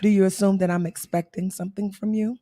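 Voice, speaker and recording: Woman, hoarse, relaxed and teasing, casual livestream co-host, dry sound. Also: medium pitch, fast, dry recording.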